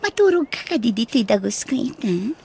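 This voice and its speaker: Actress as lovesick young girlfriend, high voice, fretful and emotional, radio drama style. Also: sing-song, brisk, gravelly, darkish tone, a little hiss or hum. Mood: tender. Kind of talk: Ilocano radio drama acting.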